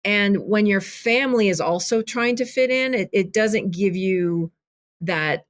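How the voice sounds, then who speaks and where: A woman whose first language is Arabic, a filmmaker and author speaking as a podcast guest, talking reflectively with many restarts. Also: mid-range voice. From grew up in Kentucky, USA. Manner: reflective, halting, many restarts and "you know". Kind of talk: casual interview conversation.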